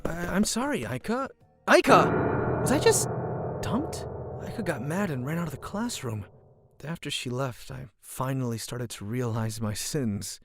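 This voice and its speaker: Manga dub lead: man voices a shy, earnest high school geek with a lively pitch range, over light music. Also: measured pace. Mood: upset, earnest. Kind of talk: manga dub narration and dialogue.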